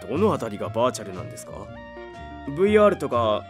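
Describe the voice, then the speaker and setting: A man's voice: mid-range voice, sing-song, rapid-fire, lightly acted. An actor playing a timid, flustered young office worker who narrates his own story, over music. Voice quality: flustered, apologetic. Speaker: narrator and lead character. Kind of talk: audio drama acting with narration.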